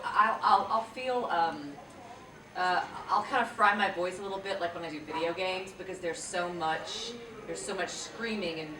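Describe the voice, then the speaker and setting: A woman voice actress talking casually on a convention panel, chatty and full of restarts. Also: lowish voice. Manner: chatty, with frequent restarts and fillers. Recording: echoey hall, distant mic, noisy.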